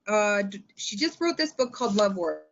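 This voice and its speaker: A Canadian woman giving an informal instructional talk in an expressive, chatty way that tends to wander. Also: medium pitch, fairly quick, highs cut off. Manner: animated, expressive, digressive. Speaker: teacher librarian and presenter.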